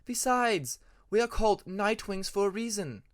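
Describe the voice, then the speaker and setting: A man's voice: high-pitched, animated, moderate pace, pitched above the performer's own voice. A man voicing a stubborn dragon mother who lectures in a matter-of-fact, insistent way, for a storybook narration. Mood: lecturing, insistent.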